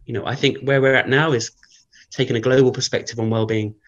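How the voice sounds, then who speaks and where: Man, guest on an interview, croaky early voice, rambling and filler-heavy, dull lo-fi sound. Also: medium pitch, steady tone, quick pace, fairly bright tone. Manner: rambling, thinks aloud with many fillers. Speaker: guest researcher. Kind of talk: casual interview talk.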